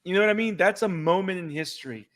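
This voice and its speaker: Puerto rican guy, spanish first language, high voice, gets hyped and repeats himself chatting on a podcast. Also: fast. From Puerto Rico. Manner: excited, repeats words when fired up. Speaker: podcast co-host. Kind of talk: casual chat.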